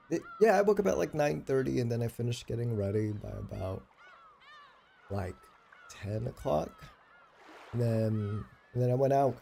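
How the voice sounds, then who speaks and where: Male streamer, late 20s, low-key dark tone with vocal fry, loose sweary rambling, slight noise behind. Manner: rambling, profane, self-deprecating. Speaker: game livestreamer. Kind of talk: casual livestream chat.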